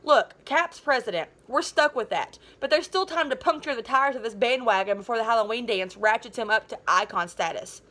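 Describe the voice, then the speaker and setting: A woman's voice: fairly high pitch, fairly quick, few pauses, bright, forceful tone. Woman reading as snarky, scheming schoolboy. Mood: pleading. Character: scheming, sarcastic popular middle-school boy, pushy and proud.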